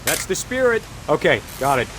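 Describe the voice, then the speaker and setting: A man's voice: on the high side, sing-song, moderate pace, noisy background. A man with an American accent playing an upbeat but firm middle-aged father in naturalistic game dialogue. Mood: firm, wry. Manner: hearty, mildly admonishing. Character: upbeat, firm outdoorsy father on a hunting trip. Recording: music and rain behind the voice. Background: music, rain.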